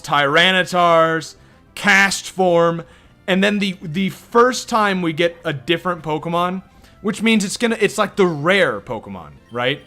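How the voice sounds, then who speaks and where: Guy rambling over his game stream, swears a lot, bright voice, bit of game music behind. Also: sits high. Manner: profane, rambling, reacting to chat. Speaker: let's play streamer. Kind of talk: casual gameplay commentary.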